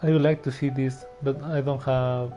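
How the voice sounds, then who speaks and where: A game streamer giving casual gameplay commentary in a halting, amused, slangy way. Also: mid-range voice, level tone, mellow, dull audio, faint music behind.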